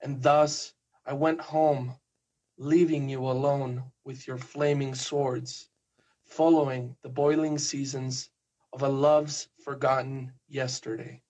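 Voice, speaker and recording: A man from Spain with a Spanish accent, a guest poet who chats hesitantly and reads his poems slowly and softly. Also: medium pitch, little pitch movement, poor audio. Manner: hesitant, soft-spoken, slow measured reading. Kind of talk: casual chat and poetry reading.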